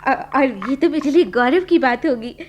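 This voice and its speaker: An actress playing an eager aspiring performer in a Hindi radio play, deferential, flattering and hesitant, with a coaxing manner. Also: female voice, high voice, moderate pace, lo-fi sound. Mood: hesitant, pleading.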